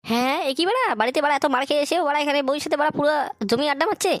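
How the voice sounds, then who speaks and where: Tattletale cartoon boy acted out, high-pitched, jittery, foul-mouthed and extremely quick. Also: a bit bright. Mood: scandalised, sneaky. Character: cheeky tattletale son, nervous around his scolding mother. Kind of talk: amateur comic cartoon dubbing.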